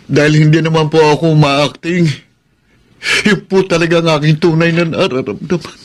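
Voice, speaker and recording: A man's voice: on the high side, steady tone, moderate pace, natural voice. A Filipino actor playing a lovelorn, heartbroken young man in a radio drama, speaking in a melodramatic, self-pitying way. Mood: wounded. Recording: music behind the voice.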